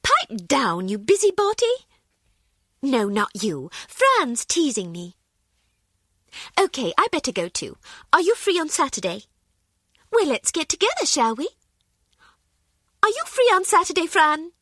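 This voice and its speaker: An actress playing a mannered, gossipy but dutiful office secretary in a video game. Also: female voice, high-pitched, huge pitch swings, measured pace, long pauses, a bit bright, dry recording, studio quality, exaggerated voice. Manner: chatty, mannered.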